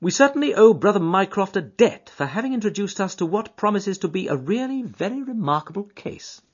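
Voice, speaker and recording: A man reading an audiobook voices an eager, high-strung detective who reasons aloud quickly and emphatically. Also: big pitch swings, slightly rough, exaggerated voice. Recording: dull lo-fi audiobook recording.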